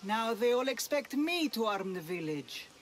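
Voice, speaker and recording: An actress playing a young, self-doubting blacksmith's daughter in a video game, wry and hesitant. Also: female voice, fairly high pitch, sing-song, normal speed, slight background noise. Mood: grumbling, reluctant. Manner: wry, hesitant, grumbling, sighing. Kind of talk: video game cutscene acting.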